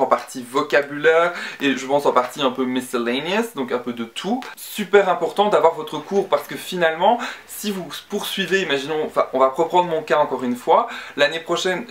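A man's voice: on the high side, normal speed, hardly any pauses, fairly bright tone, a little hiss or hum. Young guy rambling through study tips, earnest, lots of ums and restarts, webcam in his bedroom. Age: young adult. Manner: chatty and earnest, with frequent fillers and restarts. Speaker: student vlogger. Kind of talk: casual study-tips vlog. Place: bedroom with bookshelf and screen.

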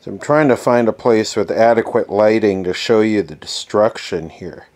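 A man giving casual, off-the-cuff narration of a repair job, halting as he thinks aloud. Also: lowish voice, a little mellow, dry recording. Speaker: host, vintage radio repairer. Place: beside an old console radio being worked on.

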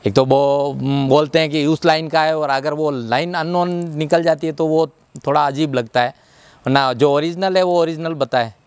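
A man's voice: on the high side, fairly even intonation, moderate pace, clear, resonant tone, no room sound. Polite hesitant man outdoors on a lapel mic. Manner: hesitant, many fillers, polite "ji" replies. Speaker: horse farm caretaker. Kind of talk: casual interview answers. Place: outdoors by a farm pool. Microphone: clip-on lapel mic.

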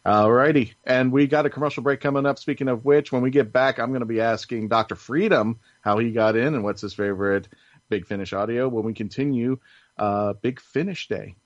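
A man hosting a casual panel podcast, talking in a halting, jokey way. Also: mid-range voice, no room sound, muffled recording. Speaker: podcast host.

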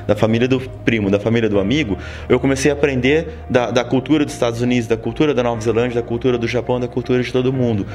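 A man in his forties from Brazil with an interior São Paulo accent, telling stories as a guest in a studio interview. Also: medium pitch. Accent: interior São Paulo Brazilian Portuguese. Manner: storytelling, lively, many "sabe?" tags. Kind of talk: casual interview talk. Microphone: handheld mic held by interviewer.

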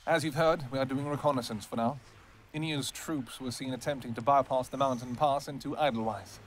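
A man's voice: medium pitch, fairly quick, slight background noise. A man playing a devoted royal vampire king in an audio roleplay, tender with his wife and commanding with his soldiers.

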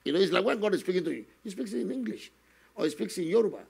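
An older man, a guest minister telling his testimony slowly and earnestly in a studio interview, often adding "you know". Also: high voice, expressive, darkish tone. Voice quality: gravelly, husky. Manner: slow, earnest storytelling with many "you know" asides. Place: television studio, seated on a set. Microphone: stand microphone in front of him.

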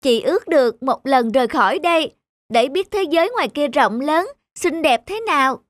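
A woman voicing a cheerful, optimistic older-sister peach tree in a cartoon, speaking in a gentle, soothing manner. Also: sits high, animated, moderate pace, a little hiss or hum. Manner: soothing, wondering. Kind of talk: animated cartoon dialogue.

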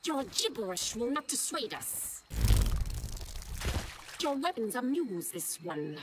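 An alien insect hive creature who speaks in stilted, formal phrases. Her voice is high and swooping, delivered slowly, over noisy game audio. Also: big pitch swings. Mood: menacing.

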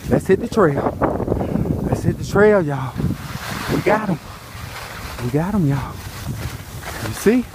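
A man's voice: a little high, expressive. A middle-aged man commentating in short repeated phrases to viewers while exerting himself on a ski run. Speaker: skier filming his own run. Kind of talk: live first-person action commentary to viewers. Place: outdoors on a ski slope. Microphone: handheld phone or camera. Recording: loud wind noise on handheld camera. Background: wind and rushing ambience.

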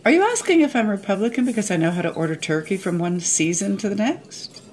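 A woman's voice: mid-range voice, expressive, fast, a little mellow, natural voice. The older woman an actress plays alone at a restaurant table in a radio comedy, answering the staff in clipped, prickly, sardonic remarks. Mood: mocking, exasperated. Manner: dry, curt, sarcastic. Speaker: actress playing a diner. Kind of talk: radio play comedy acting.

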